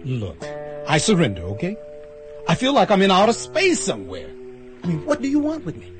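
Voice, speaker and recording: A man's voice: high-pitched, huge pitch swings, brisk, over music. An actor playing a bewildered, anxious man who wryly recounts a strange adventure in a radio drama, stammering when flustered. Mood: flustered, scared. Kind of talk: radio drama acting with first-person narration.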